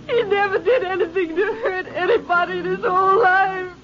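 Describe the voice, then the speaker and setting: An actress playing a distraught young shop clerk who pleads through tears, in an old radio drama. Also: female voice, very high-pitched, fairly even intonation, a little fast, crowd noise. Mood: tearful. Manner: pleading, tearful. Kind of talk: 1950s radio drama acting. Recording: dull lo-fi old radio recording.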